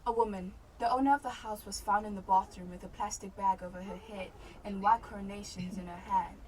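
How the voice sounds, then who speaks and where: A woman's voice: medium pitch, steady tone, brisk, natural voice. Distant, formal woman police officer , acted. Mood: factual. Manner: formal, factual reporting. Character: formal police officer reporting at crime scene. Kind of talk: radio drama acting. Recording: distant mic with faint background noise.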